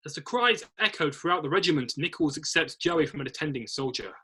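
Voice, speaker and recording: A man narrates a screenplay in a bright, forward voice that sits a little high. There is a marked huskiness to it as he reads scene headings and stage directions. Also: animated, a little fast, slightly rough. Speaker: narrator of a screenplay read-through.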